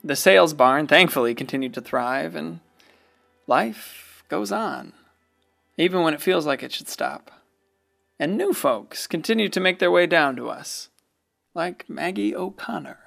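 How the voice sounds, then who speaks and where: Radio play narrator: folksy, wistful small-town storyteller, higher-pitched voice with fry, music underneath. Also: male voice, expressive, a little slow, a bit bright, exaggerated voice.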